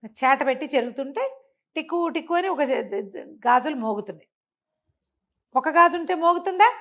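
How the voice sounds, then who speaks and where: A woman's voice: high-pitched, moderate pace. Older woman telling a moral tale. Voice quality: animated, acts out the characters' lines within the telling. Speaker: storyteller.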